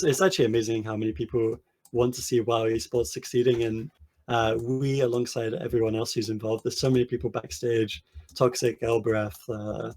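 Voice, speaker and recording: A man's voice: mid-range voice, darkish tone. A man casting a live esports stream, enthusiastically thanking donors while his voice is tiring. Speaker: esports caster.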